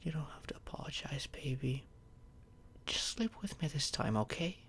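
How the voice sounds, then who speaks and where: A man playing a tender, protective boyfriend in a soft, soothing ASMR roleplay, often close to a whisper. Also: on the high side, lively intonation, moderate pace. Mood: tender, pleading. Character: tender, protective boyfriend soothing his overworked partner to sleep.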